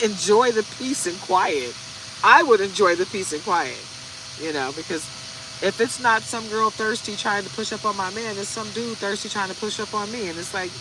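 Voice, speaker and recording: A woman's voice: fairly low pitch, background noise. A woman in her forties chatting casually to her viewers while she reads tarot cards. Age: 40s. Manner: chatty, talks straight to viewers. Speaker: tarot card reader. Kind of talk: casual tarot reading vlog.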